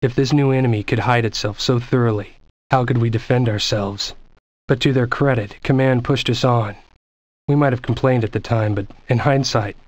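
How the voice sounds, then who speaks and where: A man's voice: medium pitch, a little mellow, no echo, close to an everyday voice. An actor playing a weary soldier, narrating his platoon's war in retrospect in a scripted game cutscene. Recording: dull lo-fi game audio.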